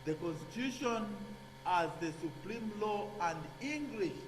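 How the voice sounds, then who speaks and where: Guy lecturing slow in an echoey hall, hum behind him. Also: sits high, expressive. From Zambia. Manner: halting, many fillers and restarts, checks in with "okay?". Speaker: nursing lecturer. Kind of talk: classroom lecture.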